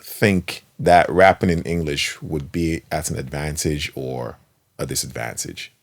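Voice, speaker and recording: A man hosting a casual interview podcast, talking with enthusiasm, quick to laugh and swinging between loud and soft. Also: rumbling low, no room sound. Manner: animated, laughs easily, enthusiastic. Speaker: podcast host.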